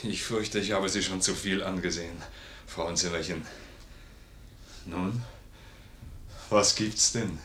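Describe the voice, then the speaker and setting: An actor playing a gruff but good-hearted sergeant with blunt, folksy soldierly talk in a 1950s German radio play. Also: male voice, low voice, fairly even intonation, slow, pauses often, pushed and bright, slight background noise, exaggerated voice. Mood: bashful. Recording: roomy 1950s radio studio recording.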